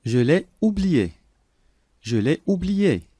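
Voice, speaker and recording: Lively, deliberate male lesson voice. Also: a little high, dry recording, studio quality. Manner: clear, deliberate, each sentence said three times. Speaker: language lesson voice. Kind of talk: slow repeated phrase reading for learners.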